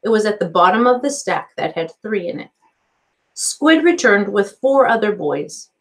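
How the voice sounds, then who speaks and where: A woman's voice: medium pitch, animated, moderate pace. A middle-aged woman reading a storybook aloud as a teacher, carefully, in a small office. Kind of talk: storybook narration, read aloud. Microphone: computer microphone on a video call.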